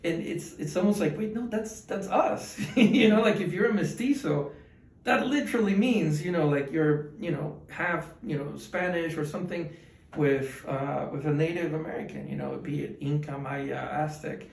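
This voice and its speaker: A man's voice: a little high, moderate pace, vocal fry. A man in his twenties from Ecuador, answering interview questions in a rambling, casual way with many fillers and restarts. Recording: echoey room, mic at a distance.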